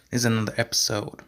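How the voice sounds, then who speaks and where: A young man hosting his own podcast, rambling in a slangy, repetitive way and going hoarse as he talks. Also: fairly bright tone. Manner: slangy, repeats himself, many fillers. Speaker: podcast host. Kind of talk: casual rambling monologue.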